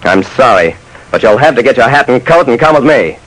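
A man's voice: medium pitch, lively intonation, brisk, a bit bright, a little hiss or hum, lightly acted, low-quality recording. An actor playing a wry, slangy detective who narrates his case in a clipped old-time radio style. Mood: narrating. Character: dry, soft-hearted male detective telling his case. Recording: vintage radio broadcast.

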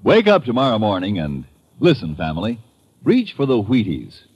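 A man's voice: lowish voice, huge pitch swings, moderate pace, a little mellow, husky, no echo. A man delivering an old-time radio advertisement with folksy, emphatic salesmanship. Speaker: commercial pitchman. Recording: dull, dry old radio recording.

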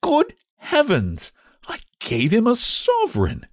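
A man voicing a young gentleman who talks in a sulky, plaintive way in a storybook reading. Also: mid-range voice, sing-song, unhurried, no room sound, clean studio sound, pitched above the performer's own voice. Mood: dismayed.

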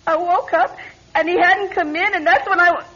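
A lo-fi radio play recording of a distraught woman. Her high voice is emotional and defensive, with an indignant edge. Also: fairly even intonation, moderate pace, bright, forceful tone. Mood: tearful recollection. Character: distraught, defensive female lodge guest. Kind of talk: radio drama acting.

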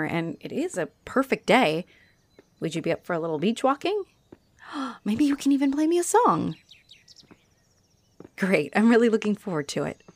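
A woman playing a friendly, flirtatious halfling orc adventurer in a roleplay audio, speaking straight to the listener in a warm, playful, expressive way. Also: a little high.

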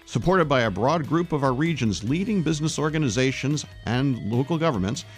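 Lively-voiced man hosting a radio show. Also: medium pitch, brisk, faint music behind. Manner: reflective, summarizing. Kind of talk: interview and sponsor reads.

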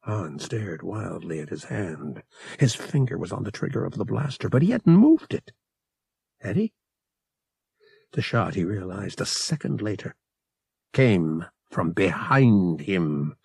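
Gravelly male audiobook narrator, dramatic and varied. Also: sits low, sing-song, measured pace, a little mellow, no room sound. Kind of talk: dramatic scripted fiction narration.

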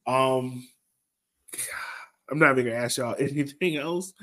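A man's voice: mid-range voice, unhurried, pushed and bright. An American man hosting a casual sports podcast, speaking colloquially and repeating phrases for emphasis. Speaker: podcast host.

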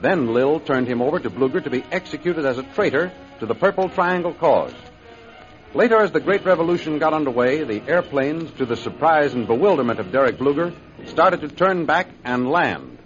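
A man's voice: fairly high pitch, expressive, a little fast, faint music behind. A man announcing a 1930s radio serial in formal, declamatory scripted narration. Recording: dull old radio recording.

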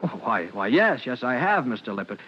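A man's voice: mid-range voice, very animated, normal speed, a bit bright, husky. An actor playing an earnest, polite young fireman with a hesitant, deferential manner, in old-time radio drama. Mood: polite, probing. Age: young adult. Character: earnest, polite young rookie fireman, protective big brother. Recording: dull vintage radio recording.

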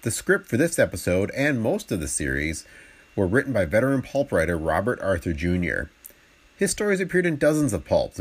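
A man's voice: low voice, husky. A man reading scripted narration as a podcast host.

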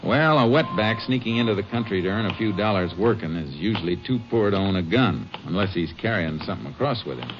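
An actor playing a shrewd, steady American Texas Ranger in a 1950s western radio drama, terse and matter-of-fact. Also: male voice, fairly low pitch, fast talker, few pauses, lightly acted. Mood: matter-of-fact, reasoning. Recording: muffled, noisy old-time radio recording.

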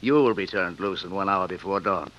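An actor playing a sinister, courteous rich villain in old radio drama, speaking quietly and formally without hurry. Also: male voice, deep voice, fairly quick. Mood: gloating threat. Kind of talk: 1940s-50s radio drama acting. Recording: dull old radio recording.

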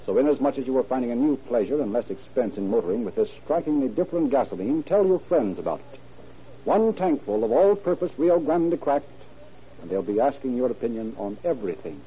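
An American man announces in scripted old-time radio style, as narrator and sponsor spokesman. His pitch is average. The recording is narrow and tinny, like an aged broadcast. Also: very animated, fairly quick.

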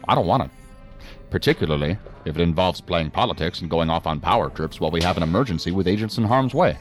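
Male federal agency boss, very low and fast-talking, dryly defiant, sci-fi audio drama. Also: animated, soft background music. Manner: dry, formal, authoritative. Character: unflappable, dryly defiant male assistant director of agents. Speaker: actor in a science fiction audio drama.

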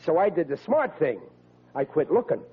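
An actor playing a blustering, boastful, good-hearted dim husband in a folksy, ungrammatical way, in 1940s radio comedy style. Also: male voice, a little high, sing-song, normal speed, husky, full character voice. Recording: tinny old radio recording.

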